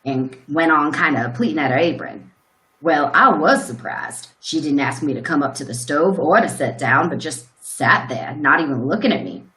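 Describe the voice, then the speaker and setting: Rural farmer witness, played by a woman, low and bright, folksy radio drama storyteller. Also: expressive, normal speed. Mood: folksy, puzzled. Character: plain-spoken rural male farmer recounting a shock. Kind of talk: radio play acting. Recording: roomy, echoing sound.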